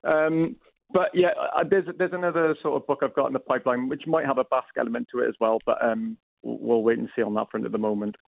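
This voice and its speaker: A man's voice: mid-range voice, fairly even intonation, fast, vocal fry, no room sound. Welsh man, phone-quality line, dry sound, stammering restarts, keen. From Wales. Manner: hesitant, repeats words, enthusiastic. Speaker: author guest. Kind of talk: phone-in radio interview.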